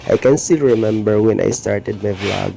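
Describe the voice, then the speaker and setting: Man, filipino accent, gravelly, mellow, earnest gardening vlogger. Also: on the low side, normal speed, slight background noise, low-quality recording. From Philippines. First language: Tagalog or Filipino. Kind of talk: narrated gardening vlog. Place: home garden in the province.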